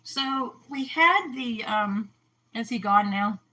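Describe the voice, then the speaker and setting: Chatty woman host, crisp bright voice, loves telling stories. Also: a little high, animated, takes it slowly, poor audio. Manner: chatty, storytelling, laughs often. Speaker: host of an aquarium livestream. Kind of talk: casual chat.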